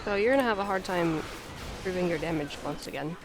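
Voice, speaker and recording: A woman's voice: fairly low pitch, quick pace, noisy background. A woman hosting a gaming stream, chatty and playful, reacting to the game as she plays. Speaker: streamer host. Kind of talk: casual gaming stream chat.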